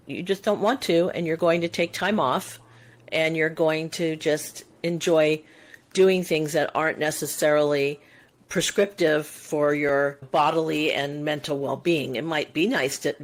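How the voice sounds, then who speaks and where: A woman in her sixties co-hosting a podcast, talking in a reflective, musing way with long winding sentences. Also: deep voice. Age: 60s. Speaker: podcast co-host. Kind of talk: casual conversational chat.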